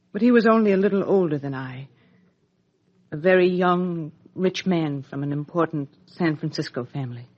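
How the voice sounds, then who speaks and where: A woman playing a regretful middle-aged actress confessing to her abandoned child in a 1950s radio soap, halting, earnest and dramatic, with a husky voice. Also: on the low side. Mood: subdued confession. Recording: dull lo-fi 1950s radio recording.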